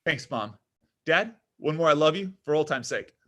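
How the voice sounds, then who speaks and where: A man's voice: a little high, lively intonation, normal speed, pushed and bright. A man playing a self-absorbed, needy young man in a comedy table read, melodramatic and whiny. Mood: hopeful.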